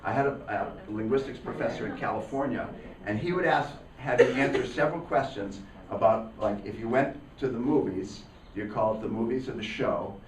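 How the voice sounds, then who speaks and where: A man with a northeastern Pennsylvania accent leading a relaxed classroom discussion and telling anecdotes. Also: mid-range voice, fairly quick, slight background noise. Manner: conversational, anecdotal. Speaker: teacher. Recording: roomy classroom, mic at a distance.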